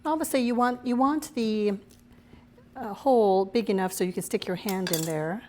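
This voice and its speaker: A woman hosting her own cooking show, her voice a little raspy as she recovers from a cold, chatting through each step as she cooks. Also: mid-range voice, normal speed, dark tone, a little hiss or hum. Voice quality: slightly raspy. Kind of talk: casual cooking demonstration.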